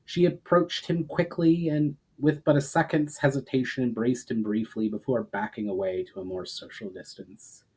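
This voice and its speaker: A man reading a fantasy story aloud as a dramatic narration, with emphatic phrases that trail off softly. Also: medium pitch, fairly even intonation, moderate pace, few pauses, slightly rough, rough recording.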